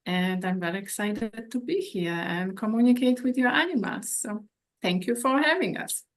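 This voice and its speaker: Woman, from Poland, medium pitch, short simple friendly sentences. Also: expressive, moderate pace. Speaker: animal communicator. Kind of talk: brief self-introduction.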